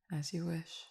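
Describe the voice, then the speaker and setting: A woman voicing a calm cupid roommate who feeds on desire, in an audio roleplay spoken to the listener, formal, measured and quietly teasing. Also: low voice, vocal fry, a little hiss or hum, exaggerated voice.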